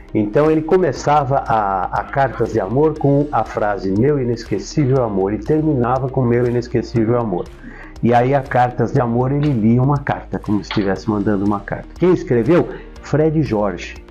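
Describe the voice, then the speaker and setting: Older male, Brazilian accent, mellow, conversational storyteller with emphatic repeats; slightly dull sound, music underneath. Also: fairly low pitch. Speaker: interview guest.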